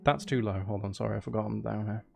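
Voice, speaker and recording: A man's voice: on the low side, steady tone, quick pace, creaky. A man streaming casually as he makes music, thinking aloud in a playful, rambling way. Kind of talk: casual livestream chat while making music. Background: electronic music being composed.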